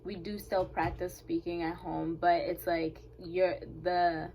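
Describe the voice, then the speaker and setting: A woman's voice: fairly low pitch, level tone, a little slow, dark tone, slight background noise, rough recording. A young woman from Kenya whose first language is Swahili, answering interview questions in a hesitant, casual way with many fillers. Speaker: interview guest.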